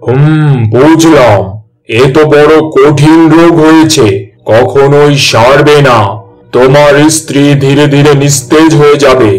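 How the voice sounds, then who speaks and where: A man playing a grave, regretful village herbal healer in a fairy-tale cartoon, making solemn, deliberate pronouncements. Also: on the high side, steady tone, faint music behind, close to an everyday voice, poor audio.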